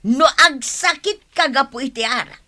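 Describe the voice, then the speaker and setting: A woman's voice: high voice, moderate pace, bright, forceful tone. A woman with an Ilocano accent playing an exasperated, scolding village wife in a comedy radio drama, nagging and emphatic. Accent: Ilocano, northern Philippines.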